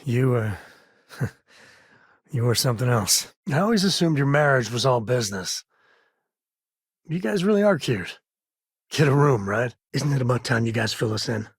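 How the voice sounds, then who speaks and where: A man's voice: medium pitch, sing-song, normal speed, pauses often, breathy edge, no room sound, clean studio sound. An actor playing an easygoing, roguish middle-aged space cowboy and devoted father in a video game, with folksy, wry, laid-back phrasing. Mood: wry, teasing. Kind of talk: video game character dialogue.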